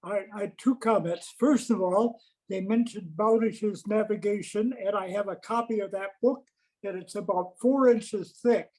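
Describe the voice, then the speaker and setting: A man's voice: sits high, moderate pace, darkish tone, rough recording. A man, a club member, giving informal comments in an online meeting.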